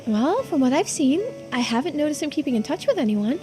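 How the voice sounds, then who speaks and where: A woman's voice: high-pitched, animated, fairly quick, mellow, pitched above the performer's own voice. A woman voicing an earnest, self-deprecating bookish youngster in a video game, apologetic and eager to help. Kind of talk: video game character voice-over. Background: music.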